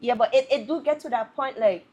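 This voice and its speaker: A woman's voice: fairly high pitch, fairly quick, a little mellow, slightly rough, lo-fi sound. Virgin Islands woman talking candidly. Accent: Virgin Islands Caribbean English. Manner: candid, laid-back, slangy. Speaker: guest musician. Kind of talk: casual podcast interview.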